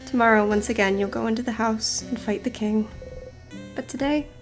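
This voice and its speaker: A woman's voice: on the high side, fairly even intonation, normal speed. A woman game streamer narrating a playthrough, reading game text aloud and commenting on it. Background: game music.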